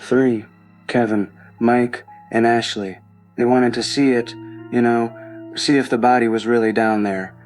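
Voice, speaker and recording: A man playing a panicked young man phoning for emergency help in an audio drama, halting and repeating himself. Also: mid-range voice, level tone, darkish tone, soft background music, lightly acted. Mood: anxious, rattled.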